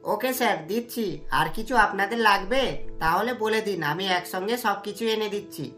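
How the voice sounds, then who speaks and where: A woman voicing a plain-spoken momo shop waiter in a cartoon, polite but quick to retort. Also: medium pitch, a bit bright, voice pushed down, lightly acted. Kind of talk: cartoon story dialogue. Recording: music under the voice.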